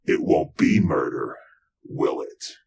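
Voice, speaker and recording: An actor playing a brooding, murderous robot with a human mind, narrating slowly and menacingly in a retro radio drama. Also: male voice, extremely low pitch, darkish tone, heavily acted. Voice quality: gravelly. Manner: deliberate, halting, menacing. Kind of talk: retro 1940s radio drama acting, first-person narration.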